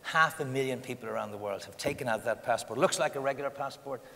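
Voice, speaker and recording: Fast-talking Irish man in an echoey hall. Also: mid-range voice, gravelly, a bit bright. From Ireland. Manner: fluent storyteller, anecdotes with quoted dialogue. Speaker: guest, diaspora consultant. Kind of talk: panel interview answers. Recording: echoey hall with faint ambience.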